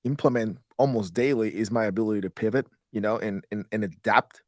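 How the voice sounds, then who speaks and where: A man's voice: lowish voice, brisk, no room sound, studio quality. A man in his thirties from Guatemala, a guest in a podcast interview, talking in a loose, rambling way with many restarts. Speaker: guest, real estate investor.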